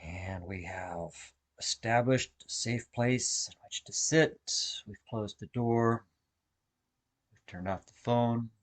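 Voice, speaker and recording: A man with a fairly low voice guides a relaxation session. He gives soft-mannered, measured instructions in short phrases. Long pauses separate each cue, as a meditation instructor would leave for listeners to follow. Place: online video call session.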